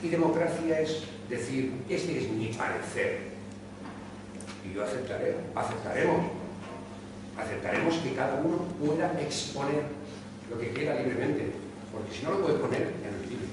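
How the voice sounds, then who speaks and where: A man's voice: mid-range voice, lively intonation, normal speed. A man in his sixties from Córdoba, giving an impassioned talk at a neighborhood meeting, full of rhetorical questions. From Spain (Córdoba). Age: 60s. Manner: rhetorical questions, emphatic and earnest. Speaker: speaker at a public talk. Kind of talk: impassioned public speech. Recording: echoey hall, distant mic, background noise.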